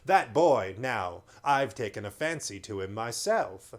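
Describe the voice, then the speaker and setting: A man's voice: mid-range voice, expressive, unhurried, natural voice. A man voicing a wheedling, coarse male slave dealer in a read-aloud story. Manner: wheedling, cockney-ish slang.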